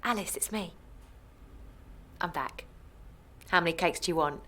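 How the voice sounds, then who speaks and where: A woman acting a tired, placating mother with quiet determination in a naturalistic audio drama. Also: on the low side, measured pace. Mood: resolved.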